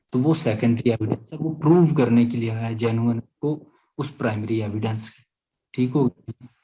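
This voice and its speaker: A man's voice: medium pitch, mellow, rough recording. A man with a Pakistani accent teaching a law class, explaining step by step and often checking understanding. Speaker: law lecturer. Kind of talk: explanatory class lecture with student questions.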